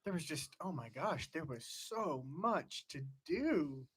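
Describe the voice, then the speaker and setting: A man's voice: fairly high pitch, lively intonation, slow pace, husky. A man co-hosting a casual livestream, trading playful jokes and banter. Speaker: podcast co-host.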